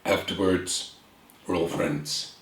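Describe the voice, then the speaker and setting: A man with an Icelandic accent talks slowly and thoughtfully in short phrases. His voice is low and fairly flat. The room around him sounds echoey, with a faint hiss underneath. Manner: earnest, reflective, pausing in short phrases. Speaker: guest. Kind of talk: candid conversation. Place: room with bookshelves and a window, at a table.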